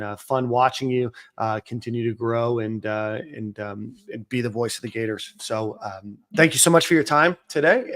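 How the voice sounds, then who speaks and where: A man's voice: mid-range voice, a bit bright. Uh-filled male podcast host. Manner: filler-heavy, uh-laden, self-mocking. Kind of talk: casual sports podcast talk.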